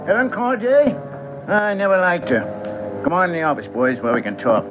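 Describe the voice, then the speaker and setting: Old-time radio role: chatty, slippery union steward, swooping intonation, dull vintage sound. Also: male voice, sits high, sing-song, moderate pace, over music. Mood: breezy. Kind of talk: 1940s radio drama acting.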